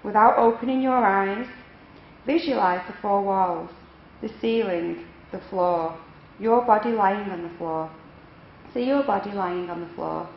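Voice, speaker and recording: A woman's voice: mid-range voice, vocal fry. Echoey woman guiding a relaxation meditation. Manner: measured, instructional, unhurried phrasing with pauses. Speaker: yoga nidra instructor. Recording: echoey room, dull lo-fi, faint noise.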